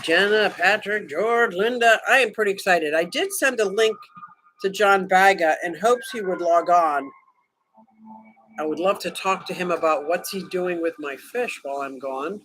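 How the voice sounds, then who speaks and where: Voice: medium pitch, fairly bright tone, slight background noise, low-quality recording. A livestream host chatting casually with viewers from a vacation room, joking around and greeting people by name. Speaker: livestream host reading chat. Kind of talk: casual live chat.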